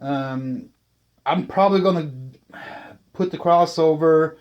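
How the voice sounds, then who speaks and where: A man talking casually and unscripted about a hobby build, thinking aloud in a hesitant, halting way as he presents. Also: a little high, dull audio. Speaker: channel host, speaker builder.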